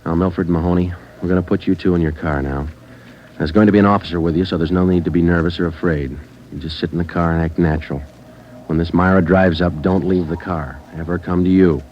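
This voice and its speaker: Man playing a low-voiced, clipped police sergeant. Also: steady tone, quick pace, a little hiss or hum, lightly acted. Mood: calm, firm. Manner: clipped, matter-of-fact. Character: terse, businesslike male police sergeant narrating his case. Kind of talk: mid-century radio crime drama acting. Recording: dull old radio broadcast with music.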